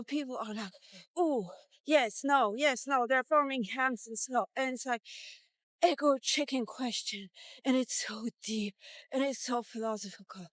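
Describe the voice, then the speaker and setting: A woman's voice: fairly high pitch, no echo. A woman in her fifties talking casually in a solo vlog, blunt and sweary, thinking aloud as she goes. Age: 50s. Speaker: vlogger. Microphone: walkie-talkie vlog, recorded on the go.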